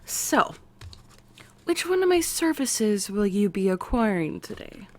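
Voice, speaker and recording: A woman with an American accent voicing an eccentric, excitable woman scientist playing therapist in an ASMR roleplay, with quirky, halting delivery. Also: mid-range voice. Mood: quirky, welcoming. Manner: quirky, halting, drawn-out delivery with sudden swoops. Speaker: roleplay voice performer. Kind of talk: ASMR character roleplay, speaking to the listener.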